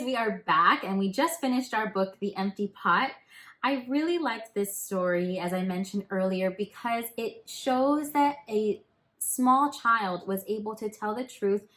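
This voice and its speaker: Casting: female schoolteacher, mid-pitched, expressive and deliberate for young listeners, music under the voice. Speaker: teacher reading stories to children. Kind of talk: expressive storybook reading and talk to children.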